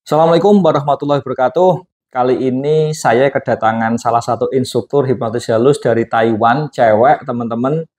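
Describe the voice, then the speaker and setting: A man's voice: mid-range voice, brisk, a little mellow. A man hosting a casual interview, prompting his guest with many questions and tag phrases. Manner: chatty, prompting questions with frequent tags. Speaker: host and hypnosis teacher.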